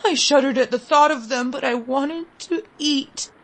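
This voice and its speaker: A woman reading a literary story in the first person, expressive, vivid and deliberate. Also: high-pitched. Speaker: story reader.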